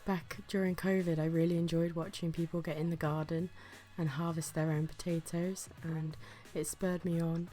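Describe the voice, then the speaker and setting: Low-voiced woman with a flu. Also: little pitch movement, normal speed, hardly any pauses, mellow, faint music behind. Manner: chatty, self-deprecating, with occasional whispered asides. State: sick with flu, breathy. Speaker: gardening vlogger. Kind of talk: casual vlog narration.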